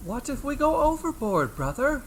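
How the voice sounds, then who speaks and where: A man's voice: very high-pitched, very animated, moderate pace, noisy background, voice pushed up, heavily acted. A man voicing a cowardly, anxious brother in a storybook tale, with hesitant, worried questioning. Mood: frightened. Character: cowardly younger-sounding brother, anxious, fretful sailor. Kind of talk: dramatic storybook character acting.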